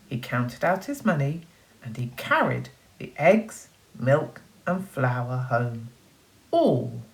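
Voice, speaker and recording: A woman with a low voice tells a children's story like a primary teacher. She is animated and dramatic in her emphasis, takes her time, and pauses to put questions to the listeners. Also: low-quality recording.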